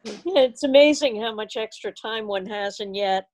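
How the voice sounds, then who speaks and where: A woman's voice: on the high side, unhurried, darkish tone, low-quality recording. A woman chatting casually with a group on a video call. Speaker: club member chatting in meeting.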